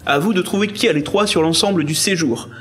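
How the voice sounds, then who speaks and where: A man's voice: a little high, quick pace, close to an everyday voice. A man playing a suspicious organiser who briefs amateur investigators in an audio comedy. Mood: instructing. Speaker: amateur audio drama actor. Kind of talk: French audio comedy acting.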